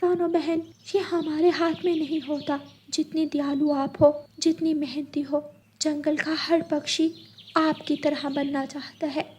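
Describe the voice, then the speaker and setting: A kind storybook parrot, voiced by a woman, speaking in a high voice. She is sympathetic and comforting. Birdsong and noise play in the background. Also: level tone, measured pace, a little mellow. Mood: reassuring. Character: kind, reassuring female parrot friend.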